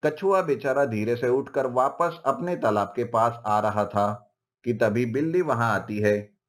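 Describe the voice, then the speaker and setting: A man's voice: medium pitch, unhurried. A man giving scripted narration for a children's moral story.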